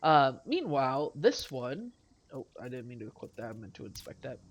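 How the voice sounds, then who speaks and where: A man's voice: mid-range voice, big pitch swings, normal speed. A man with a stuffy nose from a cold, casually commenting on his gameplay and rambling through weapon stats, correcting himself as he goes, with faint game music behind him. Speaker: let's play host.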